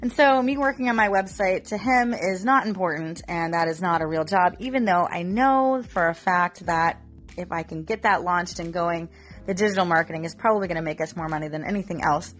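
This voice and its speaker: A woman's voice: fairly low pitch, a little fast, faint music behind. A woman getting over a cough, talking casually and candidly to her viewers, with lots of fillers. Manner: chatty, candid, with frequent fillers. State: recovering from a cough. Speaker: vlogger. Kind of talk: casual chat to camera.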